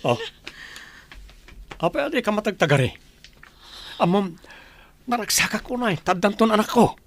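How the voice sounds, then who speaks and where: A man's voice: high voice, lively intonation, normal speed, no room sound. A man acting a cold, domineering rich husband in an Ilocano radio drama. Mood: gloating, commanding.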